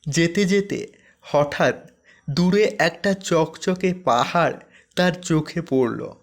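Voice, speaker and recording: A man's voice: on the high side, takes it slowly. A man narrating a scripted fairy tale as a cartoon storyteller.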